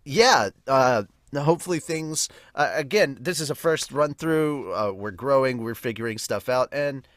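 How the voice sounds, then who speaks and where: A man's voice: mid-range voice, animated, measured pace, few pauses, fairly bright tone, dry recording. A man giving a casual, rambling, enthusiastic review as a podcast host. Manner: rambling, enthusiastic fan talk. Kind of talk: casual live reaction and review.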